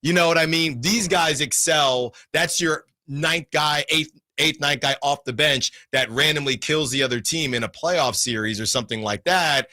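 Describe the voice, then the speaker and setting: Man in his 40s, sports radio host, bright tone, dry studio sound, rambling and animated delivery. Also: on the high side, moderate pace, few pauses, no echo. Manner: animated, rambling, lots of restarts. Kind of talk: sports talk radio discussion.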